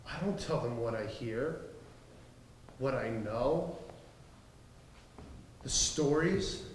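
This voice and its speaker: A man's voice: mid-range voice, expressive, takes it slowly. A man playing an agitated, possessive therapy patient in a stage play, with a dramatic delivery. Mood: hushed, secretive. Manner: dramatic, swinging from hushed confiding to loud outrage. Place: indoor stage, live performance. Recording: echoey theatre stage, faint noise.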